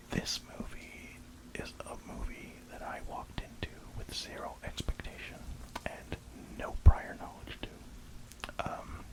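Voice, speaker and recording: A person whispering an informal ASMR review, rambling along hesitantly with frequent asides. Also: poor audio. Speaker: ASMR video creator reviewing a film.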